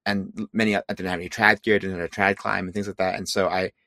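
A man's voice: sits low, level tone, rapid-fire, pushed and bright, dry recording, studio quality. A man from Hong Kong, a climbing guide, speaking in a hesitant interview manner with many restarts. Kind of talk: casual interview chat.

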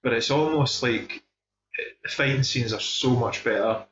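A man's voice: medium pitch, measured pace, a bit bright. A man with a Scottish accent chatting casually and enthusiastically as he reviews films, with plenty of emphasis. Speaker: film discussion podcast host. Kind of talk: casual film review chat. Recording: dull, echoey room recording.

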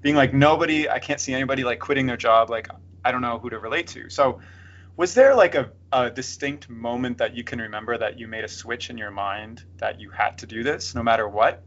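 A man hosts a conversational interview, asking long, loose questions in a casual tone. His pitch is average. The sound is muffled and lo-fi. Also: a little fast. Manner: relaxed, uses "like" a lot, rambling questions.

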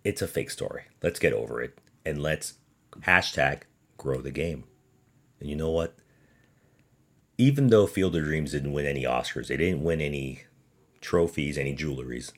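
A man with a deep voice hosts a sports podcast on his own. He speaks emphatically and with sarcasm, pushing his opinions through pointed rhetorical questions. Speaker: podcast host.

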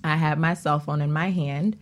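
A woman's voice: deep voice, steady tone, normal speed. A woman telling personal stories in a casual, chatty way, acting out bits of dialogue as a talk show guest. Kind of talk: casual conversational storytelling.

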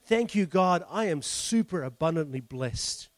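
A man's voice: a little high, expressive, measured pace, no room sound. A male pastor leading communion, preaching in a fervent, declarative way with lots of repetition.

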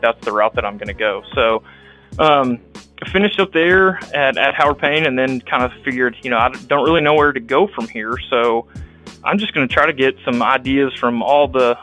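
A young man from Texas speaks with a Texan accent, his voice bright and forceful. He talks as an interview guest, halting often with restarts and ums, and faint music plays behind him. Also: mid-range voice, low-quality recording. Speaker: guest, rural health clinic director. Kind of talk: casual interview, telling his life story.

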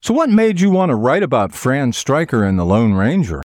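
Man, interview host, polished scripted questions, animated pitch movement, dry studio-like sound. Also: normal speed, dry recording. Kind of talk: scripted radio-style interviewing.

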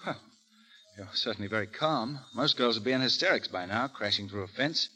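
An actor playing a sheltered, stiff and conceited young heir in a 1930s radio comedy, earnest and self-assured. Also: male voice, medium pitch, expressive, a little fast, bright, forceful tone, rough recording. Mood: suspicious, smug. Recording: vintage 1930s radio broadcast.

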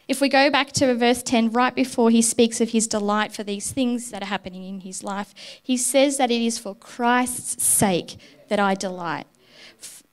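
A woman with a fairly high, smooth, clear voice preaches a sermon. She speaks candidly and warmly, weaving a personal story into her message from the front of a church.

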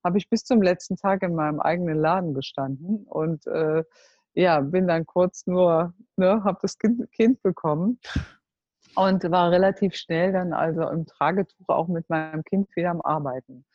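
German woman in her 40s, deep-ish voice, stops and starts a lot, dull dry sound. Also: no room sound. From Germany (Cologne). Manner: earnest, halting, searching for words. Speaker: entrepreneur, guest. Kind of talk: personal life story in a group talk. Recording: dull, dry close recording.

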